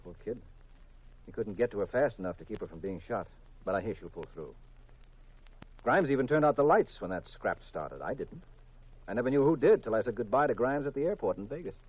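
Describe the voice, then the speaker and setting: An American actor playing a calm detective husband earnestly explaining himself in a 1950s radio drama. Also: male voice, fairly low pitch, fairly quick, breathy edge. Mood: matter-of-fact. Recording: dull, lo-fi 1950s radio recording.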